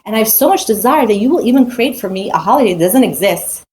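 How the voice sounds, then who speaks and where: A woman's voice: mid-range voice, animated, quick pace, a little mellow, slight background noise. An adult woman teaching a weekly Torah portion in a casual, earnest way, with frequent restarts and rhetorical questions. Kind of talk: casual spoken Torah talk.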